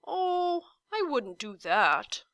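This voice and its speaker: A woman's voice: high-pitched, sing-song, drawn-out words, a bit bright, no echo, voice pushed up, heavily acted. A woman voicing a huge gelatinous giant in a children's storybook, blustering and ferocious yet timid and hesitant. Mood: hesitant, wary. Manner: blustering, hesitant, hedging every statement. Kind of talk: children's storybook read-aloud.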